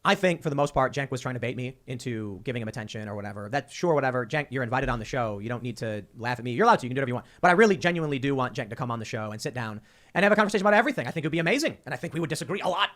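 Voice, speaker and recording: A man hosting a casual talk show, talking quickly and animatedly and often repeating words as he starts a sentence. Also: medium pitch, expressive, a bit bright. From Chicago. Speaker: podcast host.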